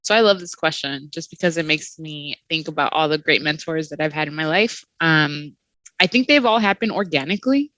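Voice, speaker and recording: A low-voiced woman gives a reflective panel answer, pausing with "um" and checking in with "right?". Her sound is dry and close, with no room around it. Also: dry recording. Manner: warm, reflective, many fillers.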